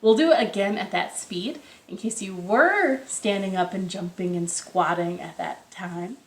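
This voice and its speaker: A woman hosting a storytime for young children, reading aloud expressively with drawn-out phrases and questions to her listeners, recorded indoors at home. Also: mid-range voice. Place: indoors, in a living room. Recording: roomy, echoey home room sound.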